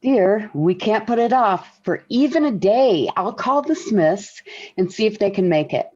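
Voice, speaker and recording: An actress playing a calm, wry mother and wife, soothing and gently firm, in 1940s radio sitcom style. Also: mid-range voice, a little slow. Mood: calmly persuasive. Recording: muffled old 1940s radio recording.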